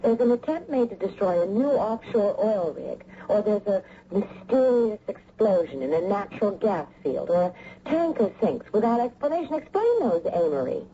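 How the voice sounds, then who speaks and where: Bossy worried wife, old radio drama, animated mellow voice. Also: female voice, mid-range voice, normal speed. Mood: insistent, concerned. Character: brisk, insistent, worried wife in a spy mystery. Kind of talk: 1980 radio drama acting. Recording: muffled old radio recording, faint noise.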